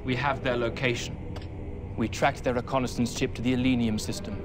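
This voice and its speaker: An actor playing an urgent, dutiful officer of a villainous army in a game, reporting briskly. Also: male voice, mid-range voice, flat delivery, close to an everyday voice. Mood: crisp report. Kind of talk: cinematic video game acting. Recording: loud music and battle sounds behind.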